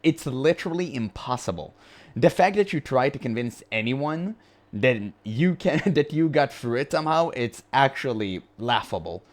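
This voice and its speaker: A man hosting a reaction video in casual commentary, with a high-pitched voice and a blunt, mocking way of talking. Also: animated, normal speed. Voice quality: high-pitched voice, by his own account. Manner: blunt and mocking, laughs often.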